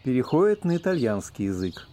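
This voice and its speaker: Male narrator for a radio play, medium pitch, dark mellow tone, scripted scene-setting. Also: big pitch swings, brisk, a little hiss or hum. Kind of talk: scripted stage-direction narration of an audio play.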